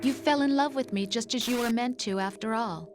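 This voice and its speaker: A woman's voice: on the high side, a little fast, heavy background noise, lightly acted. A woman voicing a manipulative, controlling artificial intelligence in a video game cutscene, speaking in a composed, pointed, lecturing way. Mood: calm superiority. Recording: loud music underneath. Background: loud music.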